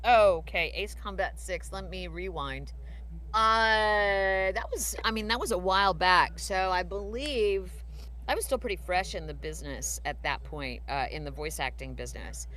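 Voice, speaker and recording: A middle-aged woman, a voice actor answering fan questions on a live stream, reflective with frequent pauses and fillers. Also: lowish voice, a bit bright. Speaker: voice actor guest.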